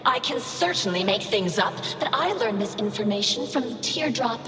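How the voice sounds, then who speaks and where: A woman's voice: on the high side, expressive, normal speed. A woman voicing a seductive, defiant female artificial intelligence in a video game, speaking in a sultry, theatrical, taunting way. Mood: taunting, sly. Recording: echoey long reverb, loud music behind. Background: loud game music.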